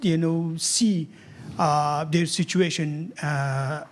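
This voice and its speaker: A man in his thirties from Afghanistan, speaking English as a with many pauses and fillers, interviewed on stage about his cartoons. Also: a little high, fairly even intonation. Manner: hesitant non-native English with many fillers. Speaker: cartoonist guest. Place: event hall on stage. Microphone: handheld mic held loosely.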